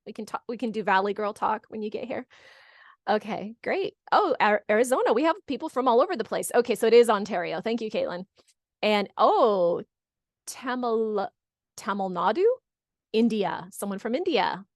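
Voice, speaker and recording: A woman's voice: mid-range voice, lively intonation, normal speed, no echo. A middle-aged woman from California hosts an online session in a chatty, encouraging way. She repeats answers back and reads out comments, with a close, dry sound and a mid-range voice. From California, United States. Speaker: webinar host. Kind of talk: casual moderated chat, reading out audience comments. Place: online video call.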